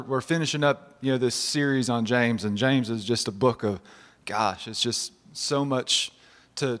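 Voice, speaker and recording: A man, giving a halting personal testimony in church, his voice husky from a cold. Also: medium pitch. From southern West Virginia. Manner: halting, many restarts and fillers. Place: church sanctuary. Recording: church hall reverb.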